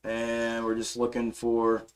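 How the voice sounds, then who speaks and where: A hoarse man hosting a stock market livestream, casually walking through charts and answering chat, calling viewers bro and man. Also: mid-range voice, monotone, slow pace. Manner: laid-back, calls viewers bro and man. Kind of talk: casual live chart commentary and chat replies.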